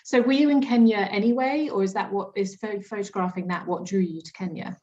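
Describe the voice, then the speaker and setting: A woman's voice: mid-range voice, brisk, warm tone. Woman, interviewer, short questions, dull lo-fi sound.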